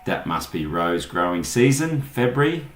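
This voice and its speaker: Australian male tutorial host, very low register, informal and unscripted, roomy echoing sound. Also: very animated, normal speed, soft background music. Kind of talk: casual unscripted screen-share tutorial.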